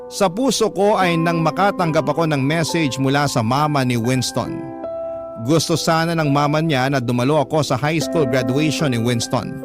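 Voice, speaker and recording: A man's voice: on the high side, lightly acted. A man reading a first-person radio love story as a scripted narration, with music behind him. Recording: music bed under the voice. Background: background music.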